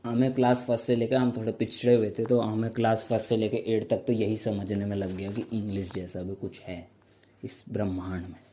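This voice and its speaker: A young man performing a stand-up comedy set, telling stories with slow mock-grand stretches and playful sound effects. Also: fairly low pitch, level tone, mellow.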